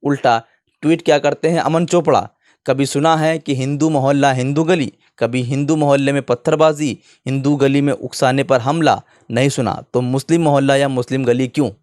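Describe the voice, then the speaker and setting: A man's voice: medium pitch, steady tone, normal speed, darkish tone, no room sound, clean studio sound. A man presenting opinionated online news commentary in an indignant manner, often posing rhetorical questions.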